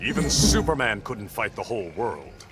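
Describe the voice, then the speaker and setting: A man's voice: lowish voice, sing-song, normal speed, over loud music. A man playing a proud, stern Atlantean warrior king in a video game, speaking in terse commands. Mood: stern, defiant. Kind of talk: video game dramatic acting.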